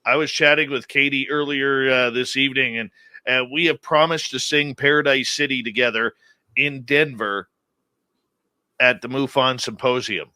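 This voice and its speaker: A man's voice: medium pitch, moderate pace, dry recording. A man hosting a radio show, joking and bantering casually with guests. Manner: joking, playful, animated.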